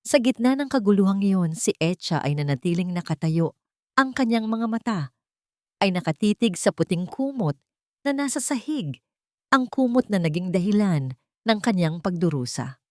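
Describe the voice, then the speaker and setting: A woman's voice: medium pitch, lively intonation, moderate pace, a little mellow, no echo, studio quality. A woman reading a scripted dramatic story in a solemn, melodramatic manner. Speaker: storyteller narrator.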